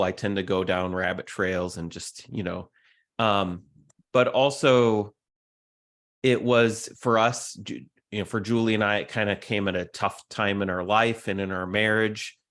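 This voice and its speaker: A man from California with a fairly low voice, chatting as a podcast guest. He talks in an easygoing, reflective way and leans on small fillers as he thinks. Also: fairly even intonation, moderate pace, fairly bright tone. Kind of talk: casual podcast chat.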